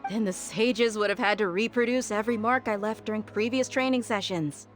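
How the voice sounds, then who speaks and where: A woman's voice: mid-range voice, a little fast, close to an everyday voice. Confident young mercenary woman for a game, female actor: mid register, self-assured, disciplined, easygoing explainer. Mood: confident, firm. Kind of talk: video game voice acting. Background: faint game music.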